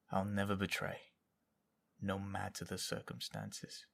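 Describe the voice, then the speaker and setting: An actor playing a haunted, loyal soldier who survived captivity, speaking in a halting, confessional way in an audio drama. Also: male voice, low voice, creaky, fairly bright tone, exaggerated voice.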